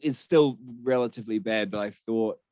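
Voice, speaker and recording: A man's voice: lowish voice, normal speed, darkish tone, no echo. New man hosting, close dry sound. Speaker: podcast host. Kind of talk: casual podcast chat about games and film.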